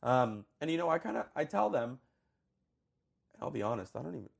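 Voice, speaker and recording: Fast-talking animated man. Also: medium pitch, gravelly, husky. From Colombia. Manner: animated, laughs often, trails off. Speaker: high school orchestra director. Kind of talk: conversational interview.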